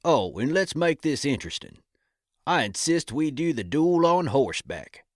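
Male actor as cantankerous Old West gunslinger: folksy, dry wit, animated, slightly high. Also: normal speed, dry recording. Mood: cocky, defiant. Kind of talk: audio drama acting.

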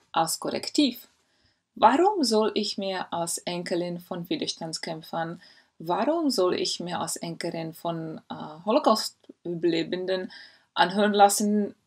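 A woman in her forties from the Republic speaks German as an in an earnest, thoughtful way. She pauses and hesitates often as she works through her points. Her voice is slightly husky, and the sound is picked up by a laptop webcam in a study at home. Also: lowish voice, a little mellow. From Czech Republic. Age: 40s. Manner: earnest, reflective, with frequent hesitations. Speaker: historian. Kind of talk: personal video statement. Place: home study lined with bookshelves. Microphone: laptop webcam mic.

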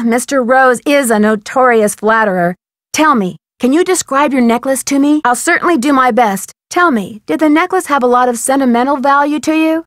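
Young female sleuth, high-pitched, earnest, courteous, inquisitive, articulate, adventure game dialogue, dry studio sound. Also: no echo. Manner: polite, articulate, eager questioning. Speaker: voice actor in a detective adventure game. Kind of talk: scripted game dialogue, phone conversations.

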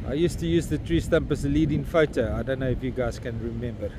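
A man's voice: mid-range voice, flat delivery, fast talker. A man in his fifties with a South African accent, walking a windy beach and talking bluntly into a phone camera, swearing as he rants. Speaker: vlogger. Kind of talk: outdoor walking vlog. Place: windy beach at an estuary mouth, outdoors. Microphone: phone camera held at arm's length. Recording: wind and outdoor noise.

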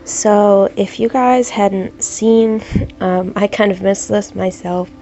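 A woman's voice: medium pitch, fairly even intonation, takes it slowly, dark tone, faint music behind, poor audio. A young woman with a stuffed-up cold voice, chatting hesitantly with many ums while she draws at home, with the camera over her paper. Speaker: art YouTuber. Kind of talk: casual commentary while drawing. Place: at home, at a desk, drawing.

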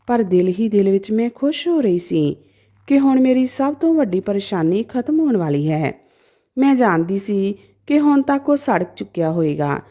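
A woman's voice: medium pitch, warm tone. A woman narrating a dramatic story in the first person as a storyteller.

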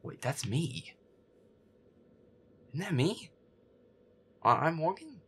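A man's voice: fairly high pitch, very animated, takes it slowly, fairly bright tone, a little hiss or hum, full character voice. A man playing a feisty, foul-mouthed werewolf boyfriend who mutters sarcastic commentary while gaming. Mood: agitated. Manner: sarcastic, profane, muttering to himself. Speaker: gamer playing a scary game. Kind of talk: roleplay audio gameplay commentary, muttering.

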